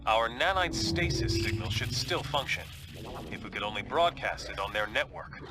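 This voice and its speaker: An actor playing a male strategist in a game cutscene, explaining a plan in a measured way. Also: mid-range voice, lively intonation, noisy background, natural voice. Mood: measured, hopeful. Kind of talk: scripted game cutscene briefing.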